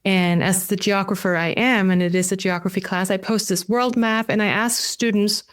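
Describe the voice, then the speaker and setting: A woman's voice: mid-range voice, fairly even intonation, normal speed. A woman from Denmark, not a native English speaker, explains her teaching as a professor guesting on a podcast, with hesitant repetitions.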